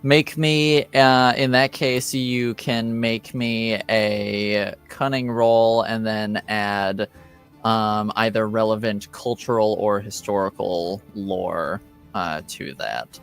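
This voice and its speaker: A man running a tabletop roleplaying game as game master, explaining lore in a halting way. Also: fairly low pitch, fairly even intonation, clear, resonant tone, soft background music.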